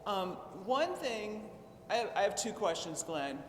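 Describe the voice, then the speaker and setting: High-voiced man asking questions in a hall. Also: big pitch swings, slow. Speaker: audience member asking questions. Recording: echoey hall with faint noise.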